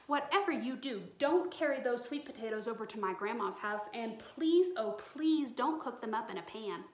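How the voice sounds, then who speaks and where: A clever little storybook girl, acted out by a woman, pleads in a high voice with great drama. The sound is roomy and echoing. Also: animated, normal speed, darkish tone, close to an everyday voice. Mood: mock distressed. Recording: roomy indoor echo.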